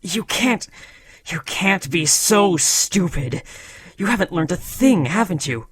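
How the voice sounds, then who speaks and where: A man's voice: high voice, lively intonation, slow pace, slight background noise. A man voicing an ancient, proud dragon who scolds a beloved rider in a solemn, formal, dramatic fantasy roleplay. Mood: pleading, scared.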